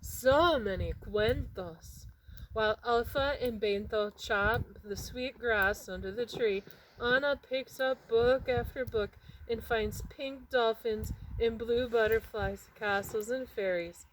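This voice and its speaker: A woman narrating a children's picture book expressively, with dramatic pauses and emphasis on words. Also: a little high, a little hiss or hum, rough recording. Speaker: read-aloud storyteller.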